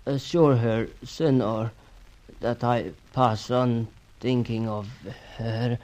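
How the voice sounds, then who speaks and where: Actor playing a slow-speaking dying South American man. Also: medium pitch, steady tone, a little mellow, natural voice. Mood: fading, resigned. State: breathy, fading. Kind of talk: radio serial acting. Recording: noisy radio drama recording.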